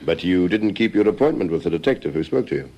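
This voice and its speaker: Deep-voiced, methodical male detective. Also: lively intonation, vocal fry, a little mellow, lo-fi sound, exaggerated voice. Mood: probing. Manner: formal, measured questioning. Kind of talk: old-fashioned radio drama acting.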